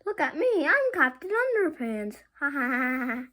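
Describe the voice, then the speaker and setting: A young boy voicing a silly, boastful storybook superhero in a loud, gleeful singsong. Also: extremely high pitch, big pitch swings, normal speed, pitched above the performer's own voice, full character voice. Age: child, about 6 to 7.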